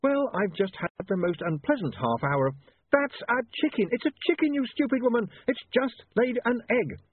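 A man voicing a harassed, sharp-tongued woman in an audiobook, exasperated, curt and emphatic. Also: high-pitched, sing-song, moderate pace, slight background noise, voice pushed up. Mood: indignant. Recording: narrow-band, radio-like sound.

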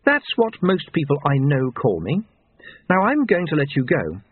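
A severe young woman as a male narrator puts her on, raised in pitch and speaking with withering precision. She is brisk, formal and in full command, an audiobook character heard through a thin, phone-like band. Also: wildly swooping pitch, slightly rough, no echo, heavily acted. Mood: brisk, dry. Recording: narrow tinny phone-like sound.

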